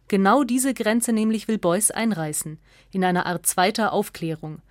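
A woman reading a scripted radio essay as narrator, in a measured, factual and articulate way. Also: mid-range voice, dry recording, studio quality.

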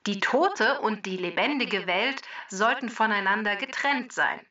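A woman's voice: mid-range voice, measured pace, sharp tone, recorded from a distance, dull audio. A woman voicing an immortal talking database in a children's podcast, theatrical and emphatic as she explains and poses quiz questions. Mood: brisk quizmaster. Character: immortal talking database, female quiz-giving know-it-all machine. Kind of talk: scripted explaining and quizzing for children.

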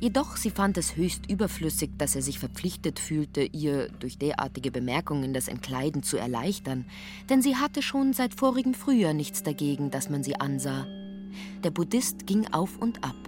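Female narrator for a radio drama, lower-pitched, scripted literary prose, faint music underneath. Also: sing-song, moderate pace.

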